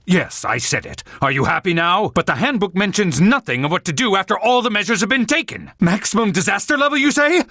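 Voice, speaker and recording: An actor playing a proud, calculating, rule-bound politician in a dramatic video game, with a formal and officious manner. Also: male voice, sits high, expressive, pushed and bright, no echo, studio quality, exaggerated voice. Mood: flustered. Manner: formal, officious, measured wording.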